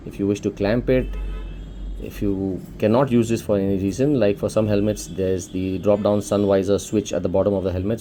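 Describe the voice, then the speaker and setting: A man's voice: on the low side, warm tone. A man with an Indian accent casually presenting an unboxing for a shop, explaining things informally step by step. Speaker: shop presenter demonstrating a product.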